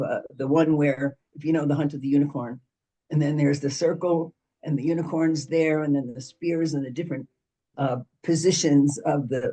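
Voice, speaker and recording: A man's voice: a little high, warm tone. A man on an arts panel telling a rambling anecdote, full of "so" and "you know". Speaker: panelist, arts organization president.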